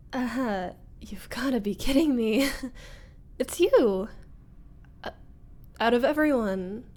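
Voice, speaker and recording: A woman's voice: high-pitched, a little mellow, slight background noise, heavily acted. A woman voicing a flustered young former bully in a scripted roleplay audio, hesitant and wry. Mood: flustered, disbelieving. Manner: hesitant, sighing, nervous laughs, wry muttering.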